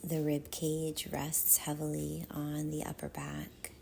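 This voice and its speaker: A woman leading a guided meditation in a soft, half-whispered, soothing voice, with measured phrases and long pauses. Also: low voice, monotone, gravelly, slight background noise.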